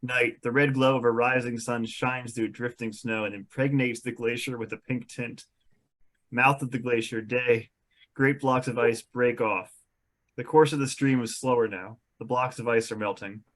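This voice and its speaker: A man's voice: medium pitch, fairly even intonation, moderate pace, a bit bright. A man reading scripted stage directions as narrator at an amateur comedic table read.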